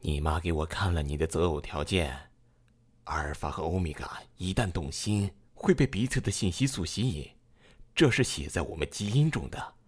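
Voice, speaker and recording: A man playing a genial middle-aged father in an audio drama, gently probing and hospitable. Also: low voice, normal speed, slightly rough. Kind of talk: modern audio drama acting.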